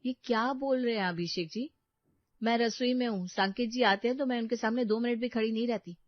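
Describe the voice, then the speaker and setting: A patient, put-upon young wife in a cartoon story who speaks with quiet resignation, voiced by a woman narrator. The audio is dull and lo-fi and sounds dry. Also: a little high, fairly quick, no room sound, pitched above the performer's own voice, close to an everyday voice. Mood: indignant. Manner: sorrowful, resigned.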